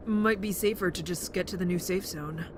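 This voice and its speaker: A woman acting a thoughtful, soft-hearted teenage boy with dry wit, speaking gently and hesitantly in an audio drama. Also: fairly low pitch, normal speed, lightly acted. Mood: quiet, thoughtful. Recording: clear vehicle-like background noise.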